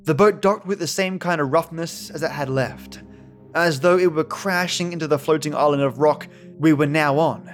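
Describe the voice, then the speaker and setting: A man narrates scripted horror fiction in the first person. His voice sits a bit high for a man, and the read is dramatic. Music plays softly beneath him. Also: normal speed. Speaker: storyteller. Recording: voice over background music.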